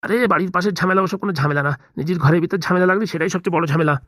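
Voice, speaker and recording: A woman's voice: fairly low pitch, rapid-fire, dry recording, clean studio sound, close to an everyday voice. A woman voicing an anxious, crooked male village politician in a comedy cartoon, complaining. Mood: worried. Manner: fretful, complaining.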